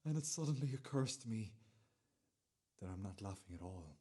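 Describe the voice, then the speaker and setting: Guy acting intense tormented bloke in a radio play, deep husky voice, slow and brooding, fragments. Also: animated, warm tone. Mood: dawning realisation. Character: intense, tormented man confessing sudden anguish.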